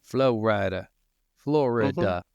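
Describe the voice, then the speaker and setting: A man's voice: fairly low pitch, slow pace, gravelly, no echo, dull audio. Sweary, joking man, clean close sound. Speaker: podcast co-host. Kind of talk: casual banter between co-hosts.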